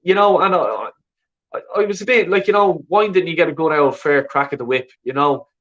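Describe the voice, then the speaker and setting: A man's voice: a little high, animated, fairly quick, a bit bright. Sweary Dublin-accented man, rambling chat. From Ireland. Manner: rambling, sweary, frequent "you know what I mean". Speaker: call-in show host. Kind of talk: casual chat reading viewer comments. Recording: dull lo-fi sound.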